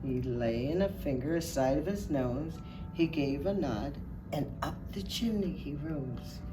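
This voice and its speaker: Woman acting as Santa's wife, low voice, expressive unhurried storybook reading, distant mic, music behind. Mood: merry, gentle. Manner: unhurried, expressive, addressing listeners directly. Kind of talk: storybook reading aloud to children.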